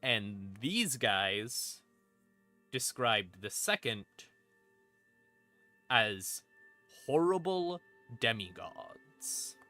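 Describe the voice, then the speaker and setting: Male streamer, forceful bright voice, casual sweary lore explainer with wry asides, eerie ambient music underneath. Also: mid-range voice, big pitch swings, very slow, long pauses. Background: creepy ambient music.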